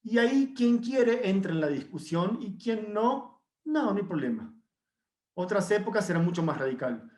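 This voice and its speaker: High-voiced man in an echoey room. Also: sing-song, moderate pace, darkish tone. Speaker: guest speaker. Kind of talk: virtual conference discussion. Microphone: video call.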